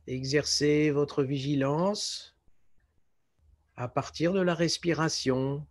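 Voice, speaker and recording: Male meditation guide, lightly raised pitch, very unhurried, calm and measured instruction. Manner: calm, measured, pausing between short phrases. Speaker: yoga nidra teacher guiding relaxation.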